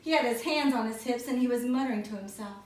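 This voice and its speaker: A woman with a high voice narrates a children's story expressively, as if to a class. She sounds slightly away from the microphone in an echoey room. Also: quick pace, darkish tone, far from the mic. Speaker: storybook reader for schoolchildren. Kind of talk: expressive children's read-aloud.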